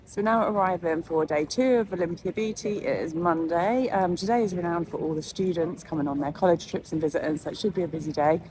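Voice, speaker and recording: A woman's voice: on the low side, fairly quick, hardly any pauses, noisy background. Chatty English woman, stuffy nose. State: stuffy, bunged up. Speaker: vlogger and nail educator. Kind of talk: casual vlog narration.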